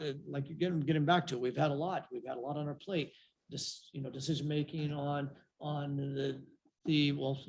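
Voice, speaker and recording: A man chairing a city council meeting, speaking haltingly with many restarts and fillers. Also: medium pitch, a bit bright, slight background noise, low-quality recording.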